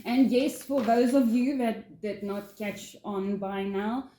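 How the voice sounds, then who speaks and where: A woman's voice: medium pitch, slow, dark tone. A woman from South Africa, not a native English speaker, chatting casually as a vlog co-host in a giggly, bubbly way with hesitant fillers. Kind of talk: casual chat. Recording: echoey room.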